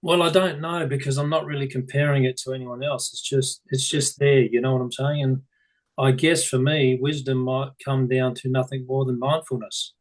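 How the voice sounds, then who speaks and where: Relaxed plainspoken man on a call. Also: medium pitch, normal speed, poor audio. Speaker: session participant. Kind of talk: sharing in a group call.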